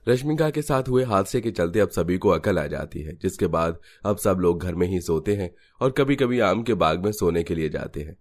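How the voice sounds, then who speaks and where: A man's voice: sits low, animated, moderate pace, few pauses, a little mellow. A man narrating a scripted cartoon family story.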